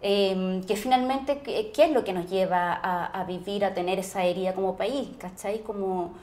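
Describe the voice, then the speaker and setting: A woman's voice: medium pitch, moderate pace, a little mellow. A Chilean woman, an actress being interviewed, reflecting conversationally and often searching for her words.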